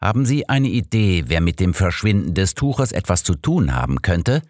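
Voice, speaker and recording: A man's voice: deep voice, big pitch swings, normal speed, a little mellow, no echo, studio quality, pitched above the performer's own voice. A man voicing an old master detective in an audiobook, precise, formal and self-assured in his explanations. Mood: questioning. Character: old master detective, analytical, sure of himself.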